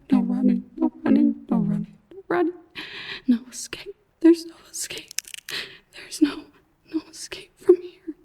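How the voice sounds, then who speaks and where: A woman playing a haunting, accusing female voice in an ASMR horror roleplay, chanting repetitively in breathy whispers and tense murmurs. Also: high voice, warm tone, voice pushed up. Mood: frantic, doomed. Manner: breathy whispering that rises into frantic repeated chanting.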